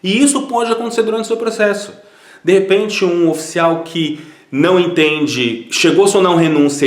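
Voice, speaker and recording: A man's voice: on the high side, echoey room. A man from Brazil with a Brazilian Portuguese accent, giving casual, conversational advice to viewers as a citizenship consultant, often repeating words as he restarts.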